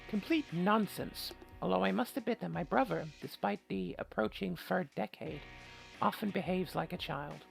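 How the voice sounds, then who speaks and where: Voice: medium pitch, normal speed, vocal fry, faint music behind. A game player voicing an earnest, anxious young woman who narrates a mystery visual novel. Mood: earnest, uneasy. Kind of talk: scripted first-person visual novel narration.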